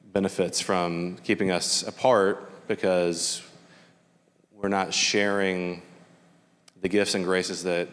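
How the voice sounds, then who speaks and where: A man from Middle Georgia, speaking as a panel guest, slow and measured with many pauses. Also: sits low, in a large hall. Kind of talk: personal testimony in a panel.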